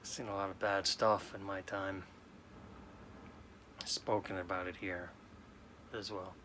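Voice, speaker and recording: A man in his late forties from New York, talking slowly and haltingly in a sad, subdued way as a sports card vlogger. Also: low voice, little pitch movement, pushed and bright, slight background noise. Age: 40s. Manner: halting, subdued, many restarts. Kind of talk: casual personal talk to camera.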